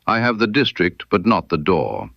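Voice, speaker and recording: A man's voice: deep voice, big pitch swings, moderate pace, gravelly, no room sound, lo-fi sound, heavily acted. A man voicing an incisive, composed Victorian detective in a mystery story, with precise, formal, probing speech.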